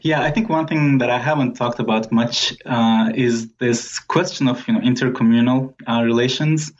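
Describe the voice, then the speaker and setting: A man speaking as a scholarly interview guest, explaining things in a thoughtful, measured way with pauses. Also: medium pitch. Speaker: guest author and historian. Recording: dull lo-fi sound, faint background noise.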